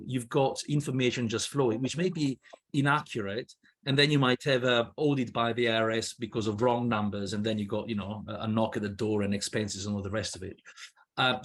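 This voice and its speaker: Swiss guy in his 50s on a laptop webcam call, lots of uhs and restarts, mid pitch. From Switzerland. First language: not English. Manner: hesitant, many fillers and restarts. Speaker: lawyer guest. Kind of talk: panel discussion on a video call. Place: indoor room with wooden ceiling. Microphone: laptop webcam on a video call.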